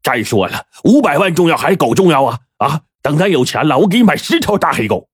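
A man's voice: on the high side, big pitch swings, fast, breathy edge, no room sound, clean studio sound, voice pushed up, exaggerated voice. A man voicing a hot-tempered, scheming male crook who barks orders in a spoken story. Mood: urgent. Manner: shouting, bossy.

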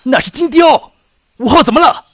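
Very high-pitched, excitable hot-headed soldier. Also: male voice, moderate pace, no echo. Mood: alert, startled. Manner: excitable, coarse, shouting and swearing. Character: hot-headed, panicky male soldier on a mission. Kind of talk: Chinese radio drama acting.

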